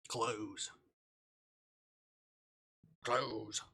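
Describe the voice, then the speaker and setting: Bright-voiced man streaming casually. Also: lowish voice, steady tone, very slow, vocal fry, husky. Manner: mutters and swears casually. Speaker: live streamer. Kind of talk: casual livestream chat, reading a visual novel aloud.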